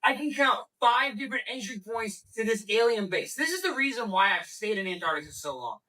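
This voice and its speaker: A man narrating an excited vlog on location, emphatic and prone to swearing, recorded at a distance from the microphone. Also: sits high, a little fast.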